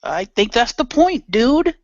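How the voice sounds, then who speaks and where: Animated, higher-voiced man in a radio play. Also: measured pace, slight background noise. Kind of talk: casual improvised role-play and narration. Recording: dry, dull lo-fi microphone.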